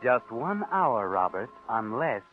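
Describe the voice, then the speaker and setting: An actor playing a sinister, sardonic narrator who taunts a schemer in a 1940s radio thriller. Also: male voice, mid-range voice, sing-song, slow. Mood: mocking. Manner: sardonic, insinuating. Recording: tinny old radio recording with music.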